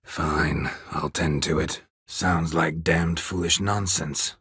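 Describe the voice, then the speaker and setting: A world-weary video game monster hunter with an extremely low, husky voice. He speaks in terse, deadpan lines, stoic and dryly sardonic, recorded close and dry with no room around him. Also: slow pace, dry recording, exaggerated voice. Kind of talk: video game character dialogue.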